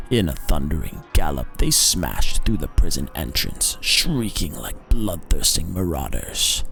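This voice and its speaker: A man reading a dark tale as a dramatic narrator, theatrical and deliberate, leaning on words for emphasis. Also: low-pitched, vocal fry. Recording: music behind the voice. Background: background music.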